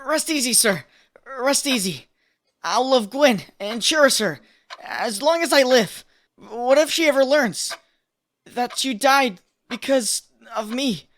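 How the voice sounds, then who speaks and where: A woman's voice: high voice, animated, slow. A woman playing an earnest young male superhero in a comic dub, emotional, breathless and full of guilt. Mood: grieving. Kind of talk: dramatic comic dub acting.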